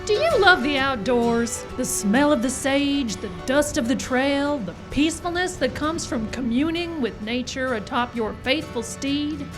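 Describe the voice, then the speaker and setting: Woman acting a high, lively cowgirl pitchwoman. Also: measured pace, few pauses. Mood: hearty sales pitch. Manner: salesy, exaggerated western patter. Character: folksy, eager cowgirl pitchwoman for a dude ranch. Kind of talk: old-time radio commercial parody. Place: outdoor park stage. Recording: live outdoor show with music behind.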